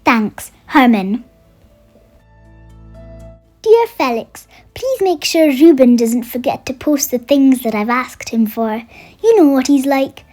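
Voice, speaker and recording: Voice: high voice, expressive, takes it slowly, long pauses, darkish tone. A storybook reader voicing a cheerful, eager male friend who chats enthusiastically in his holiday postcards. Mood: friendly, eager. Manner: bubbly, enthusiastic, chatty. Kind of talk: children's storybook read-aloud. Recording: music under the voice.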